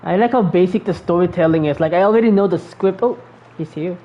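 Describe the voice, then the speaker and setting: Dull-sounding, low-pitched casual woman gamer. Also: fast talker. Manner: rambling, informal. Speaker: gameplay streamer. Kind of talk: casual gameplay commentary. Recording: dull lo-fi mic over game noise.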